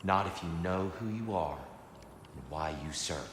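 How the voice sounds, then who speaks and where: An actor playing a calm, wise god in a video game, giving counsel in a measured, thoughtful way. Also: male voice, sits low, slight background noise. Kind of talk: dramatic video game acting. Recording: echoing hall, loud music behind.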